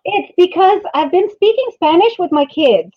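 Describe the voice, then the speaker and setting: A middle-aged woman chatting casually in an animated, storytelling way, with thin phone-like audio. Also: very high voice, moderate pace. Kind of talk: casual chat.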